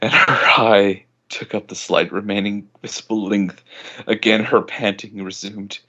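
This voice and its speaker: A man's voice: on the low side, steady tone, a little slow, bright, forceful tone, dull audio. A man reading a story aloud as an amateur dramatic narrator. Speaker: fan fiction reader.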